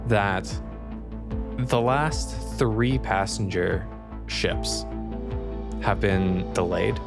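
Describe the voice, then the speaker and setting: A man's voice: fairly low pitch, drawn-out words, gravelly, over music, exaggerated voice. A man voicing a skeptical female beat reporter in an improvised tabletop game, questioning pointedly with some hesitation. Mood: confrontational.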